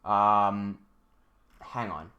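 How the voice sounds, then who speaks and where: A man's voice: lowish voice, steady tone, very slow, lo-fi sound. A young man from Hong Kong hosting a casual livestream, thinking aloud and reading out chat messages, with frequent restarts.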